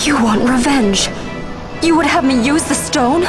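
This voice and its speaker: A proud young royal speaks in a high voice with formal gravity. She sounds troubled yet resolute, in dramatic fantasy game style, set against prominent music. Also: unhurried. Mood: anguished. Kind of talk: dramatic video game voice acting. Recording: loud music behind the voice.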